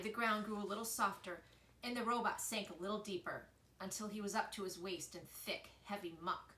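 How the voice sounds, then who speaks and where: A woman reading a children's book aloud in an expressive storytelling style. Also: mid-range voice. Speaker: reader, storyteller. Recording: echoey room with faint noise.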